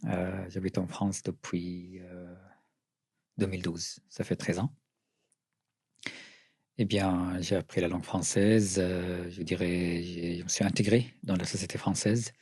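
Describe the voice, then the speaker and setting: A middle-aged man from Syria with a Syrian accent, answering interview questions earnestly, often pausing and restarting. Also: deep voice, little pitch movement, darkish tone, breathy edge, dry recording, clean studio sound. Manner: earnest, with frequent hesitations and restarts. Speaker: writer and journalist, interview guest.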